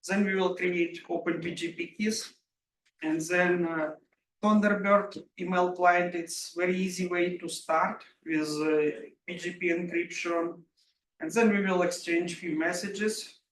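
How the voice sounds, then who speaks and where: Halting Russian-accented man in an echoey room. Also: sits high, steady tone, fairly bright tone. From Ukraine. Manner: halting delivery with frequent throat clearing. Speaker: workshop presenter, security engineer. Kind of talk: informal technical workshop talk. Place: indoor room at a live workshop. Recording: roomy echo, distant mic, faint noise.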